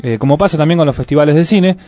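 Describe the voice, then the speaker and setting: A man's voice: on the high side, rapid-fire, mellow, no echo. A man hosting a community radio show, telling rambling anecdotes with many fillers. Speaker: radio host. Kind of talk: casual radio chat.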